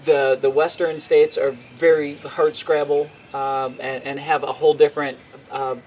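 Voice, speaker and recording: A man with a slightly higher voice hosts an outdoor interview. He halts and repeats himself as he works toward his questions. Faint birds and nature sit in the background. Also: level tone, a little mellow, a little hiss or hum. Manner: halting, many fillers and repeated words. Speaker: interviewer, host.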